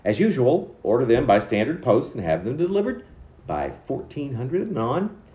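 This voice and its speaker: A roomy, slightly dull home recording of a man reciting poetry. His delivery is theatrical and mannered, with pitch that swings widely and halting, dramatic stresses on chosen words. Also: huge pitch swings, warm tone. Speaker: poet reading own work.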